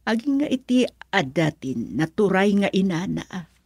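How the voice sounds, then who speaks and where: A woman playing a worried, protective mother of a grown son in a radio drama, husky and plaintive. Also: mid-range voice, sing-song, unhurried, a little mellow, no echo, studio quality, exaggerated voice.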